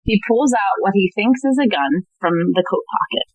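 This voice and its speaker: A woman's voice: medium pitch, animated, normal speed, slightly rough, no echo, low-quality recording. A woman reading scripted stage directions as the narrator of an audio play.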